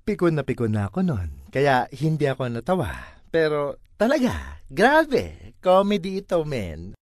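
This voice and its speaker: A man's voice: a little high, wildly swooping pitch, unhurried, no room sound, clean studio sound. A man with a Filipino accent reads a comic monologue in a theatrical, exasperated manner. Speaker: monologue reader. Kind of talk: dramatic comic monologue reading.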